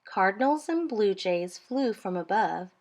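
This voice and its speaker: A woman reading a children's storybook aloud in an expressive, deliberate, rhythmic way. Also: mid-range voice. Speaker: storytime reader.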